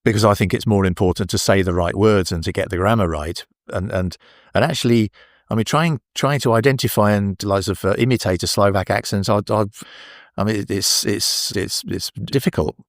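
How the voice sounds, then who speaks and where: An older man with an English accent, talking as an interview guest in a relaxed, halting way full of restarts. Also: lowish voice, no room sound, studio quality. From England. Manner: hesitant, many restarts and fillers. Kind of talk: casual interview.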